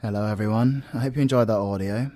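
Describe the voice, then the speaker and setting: A man's voice: on the low side, fast, dark tone. A British man hosting his audio roleplay channel, giving scripted comic announcements in a dry, exasperated straight-man manner. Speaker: audio roleplay creator and host. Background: music.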